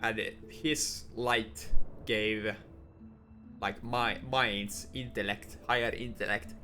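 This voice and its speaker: A man's voice: fairly low pitch, fairly even intonation, slow, sharp tone, faint music behind. A young man, being his language, commenting on a game as he plays it, thinking aloud and often restarting his words. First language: Finnish. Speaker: gaming YouTuber. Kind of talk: lore-focused game commentary.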